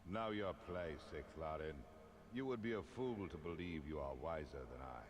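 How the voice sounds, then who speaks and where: A man's voice: very deep voice, moderate pace. A man voicing a proud, righteous god in a fantasy video game, with a pompous, lordly manner. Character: proud, righteous, authoritative male god of light.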